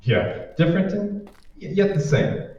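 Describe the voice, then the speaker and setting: A man's voice: a little high, very animated, measured pace. A man playing a wisecracking, reluctant boyfriend in an old-time radio drama, sardonic and grumbling. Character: wisecracking, reluctant boyfriend griping about his boss's parties. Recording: muffled, very echoey, distant, faint noise.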